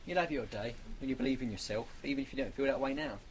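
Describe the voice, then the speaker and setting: A man's voice: mid-range voice, fast, a little hiss or hum, close to an everyday voice, low-quality recording. A man playing a level-headed, earnest teacher turned apocalypse survivor in a radio drama. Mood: encouraging. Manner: earnest, encouraging.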